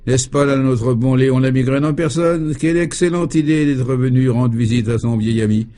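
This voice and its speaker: A man's voice: mid-range voice, normal speed, mellow, pitched above the performer's own voice, natural voice. A man voicing a kindly, dreamy professor in his seventies in a comic radio serial, affable, courtly and exclamatory. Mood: delighted.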